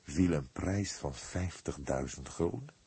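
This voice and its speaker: A man voicing an old police detective in an audiobook, probing and skeptical, with a deliberate, wry way of questioning. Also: very deep voice, lively intonation, mellow, husky, dry recording, full character voice.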